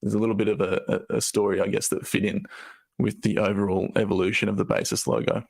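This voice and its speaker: Low, gravelly man, croaky voice, rambling casual presenter. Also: big pitch swings, quick pace, darkish tone. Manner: loose, rambling, with fillers and restarts. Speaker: co-founder presenting update.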